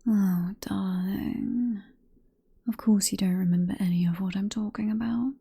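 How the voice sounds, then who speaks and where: Woman acting as a british vampire professor, asmr whisper, super breathy n slow, possessive and teasing. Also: medium pitch, steady tone, mellow. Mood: seductive, teasing. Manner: slow, coaxing, condescendingly affectionate.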